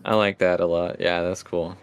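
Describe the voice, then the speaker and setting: A man with a low voice and noticeable vocal fry hosts a tabletop game as game master. He talks in a casual, conversational way with the group. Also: flat delivery, moderate pace, a little hiss or hum.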